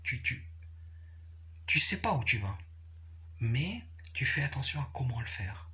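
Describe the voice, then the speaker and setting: A man giving a casual, unscripted explanation, repeating himself haltingly and often checking that the listener follows. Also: medium pitch, sing-song, sharp tone. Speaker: capoeira practitioner sharing views.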